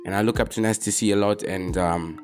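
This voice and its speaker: A young man from Zimbabwe, a rapper answering questions about himself in relaxed, casual talk. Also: on the low side, fairly quick. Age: young adult. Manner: relaxed, laid-back, drops in "man" often.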